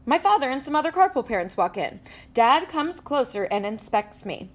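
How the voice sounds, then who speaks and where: A woman reading a children's picture book aloud with animated storytelling and playful sound effects. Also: sits high, moderate pace. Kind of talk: expressive read-aloud. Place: indoors at a table with a book.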